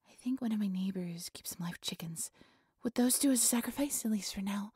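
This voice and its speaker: Woman acting a breathy, whispery, worshipful dark priestess. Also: medium pitch, fairly quick, no echo, studio quality. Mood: honored, eager. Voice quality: soft, breathy, often whispery. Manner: reverent, formal, fawning. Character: devoted, obsessive young high priestess worshipping her dark god. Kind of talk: breathy audio roleplay acting.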